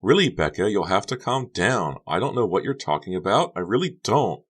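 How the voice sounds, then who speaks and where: A man's voice: fairly low pitch, very animated, fairly quick, slightly rough, voice pushed up. A man voicing a tearful teenage girl who pleads in a whiny, innocent-sounding way. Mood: hurt. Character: wounded, tearful teenage girl rival Honey.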